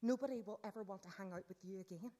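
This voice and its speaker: A woman's voice: mid-range voice, racing, warm tone, close to an everyday voice. A woman playing a snobbish, bossy teenage mean girl in a radio play, with a sneering, theatrical delivery. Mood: angry, bossy.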